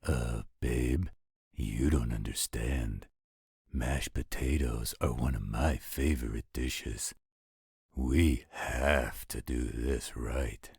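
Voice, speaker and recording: Man playing a very deep-voiced, patient partner. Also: slow pace, vocal fry, a little mellow, breathy edge, dry recording, clean studio sound, exaggerated voice. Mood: coaxing, insistent. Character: easygoing male partner soothing his mate, potato-loving. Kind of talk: scripted roleplay audio drama.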